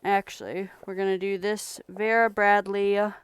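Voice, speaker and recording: A young woman from Texas chatting casually on a live stream about thrift finds she resells, in a relaxed, rambling way. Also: mid-range voice, normal speed, clean tone, no echo.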